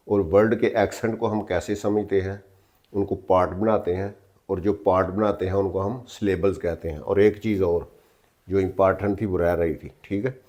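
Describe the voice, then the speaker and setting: A man's voice: low-pitched, darkish tone, dull audio. A man teaching English grammar in a patient, repetitive way, spelling words out letter by letter and checking in often with listeners. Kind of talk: explanatory lesson in mixed Hindi-Urdu and English.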